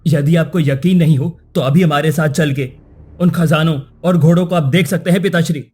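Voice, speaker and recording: Cartoon prince, righteous and formal, male actor, slightly high, mellow and earnest. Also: fairly even intonation, normal speed, husky. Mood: earnest explaining. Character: righteous young prince defending a falsely accused girl. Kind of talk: Hindi cartoon moral-story dialogue.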